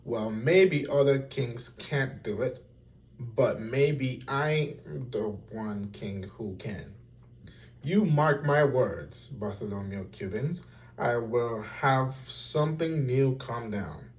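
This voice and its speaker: A man's voice: medium pitch, slow, far from the mic, lightly acted. A man voicing a hot-tempered, imperious old storybook king in a bellowing, emphatic, commanding manner. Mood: defiant.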